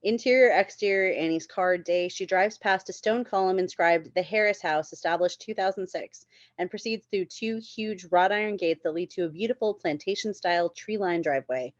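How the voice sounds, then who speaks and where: A woman's voice: on the low side, moderate pace, few pauses, dry recording, muffled recording. A woman reading screenplay stage directions as narrator at a table read.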